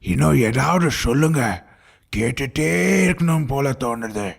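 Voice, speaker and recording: A man voicing a chubby, easygoing but sceptical schoolboy in a cartoon, with a raspy voice. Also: medium pitch, moderate pace. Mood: admiring. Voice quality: gravelly, raspy. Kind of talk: cartoon dialogue.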